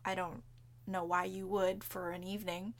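A woman's voice: medium pitch, little pitch movement, moderate pace, gravelly. A young woman with Cantonese as her first language, co-hosting a podcast in a chatty, giggly way and swearing casually. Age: young adult. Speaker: podcast co-host. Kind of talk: casual chat.

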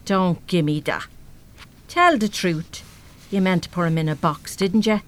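A woman's voice: lowish voice, a little slow, lightly acted. A woman with an Irish accent playing a battered but defiant working-class mother in a live radio play. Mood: low-key, prying. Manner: blunt, salty, plain-spoken.

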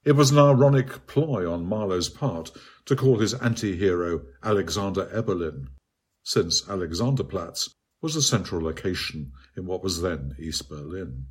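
A man reads his own literary essay aloud as scripted narration, in a measured, articulate and bookish manner. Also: deep voice, lively intonation, slightly rough. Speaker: author reading own article.

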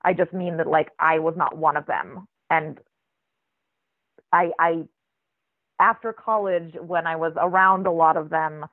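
Flat American accent, raised in Israel, gravelly, dry tinny phone line, reflective and searching. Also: medium pitch, measured pace, pauses often, no room sound. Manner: thoughtful, searching, many restarts. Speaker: writer, interview guest. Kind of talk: reflective conversational interview.

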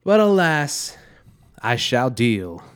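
A man's voice: medium pitch, sing-song, takes it slowly, clean tone. A man co-hosting a casual beer podcast, a little congested and nasal, rambling with many restarts and a slightly sore throat. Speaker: podcast co-host. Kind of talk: casual chat over beer.

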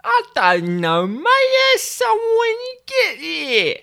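A man voicing a cheeky, streetwise thirteen-year-old paperboy in a high-pitched voice. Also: huge pitch swings, takes it slowly, gravelly, sharp tone, a little hiss or hum, exaggerated voice. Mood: recoiling.

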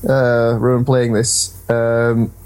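A man's voice: mid-range voice, monotone, takes it slowly, a little mellow, a little hiss or hum. A man co-hosting a casual games podcast, talking in a hesitant, rambling, self-deprecating way. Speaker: podcast co-host.

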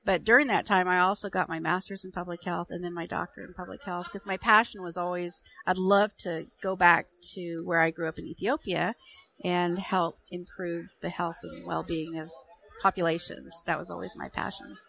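Low, gravelly-voiced woman guest. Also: steady tone, normal speed, pushed and bright, low-quality recording. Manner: hesitant, restarts often. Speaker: guest, public health nurse. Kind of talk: radio interview.

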